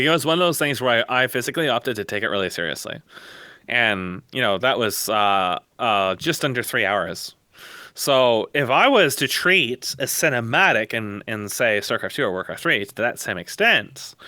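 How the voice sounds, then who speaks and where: A man's voice: medium pitch, sharp tone, no room sound. A man in a casual opinionated discussion on an online voice call, rambling, blunt and emphatic. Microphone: close mic on an online voice call.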